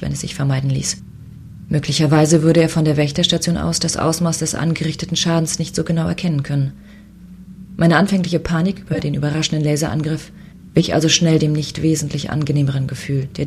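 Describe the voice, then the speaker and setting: A woman playing a proud, combative chief space commissioner, crisp and sardonic, in a science fiction radio play. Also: sits low, a little mellow, a little hiss or hum. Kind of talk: radio play dialogue and inner monologue.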